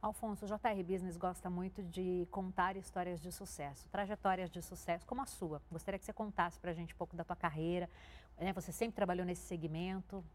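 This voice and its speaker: A woman's voice: fairly low pitch, fairly even intonation, brisk, a little hiss or hum. A woman interviewing a business executive for a TV program, asking polite, conversational questions.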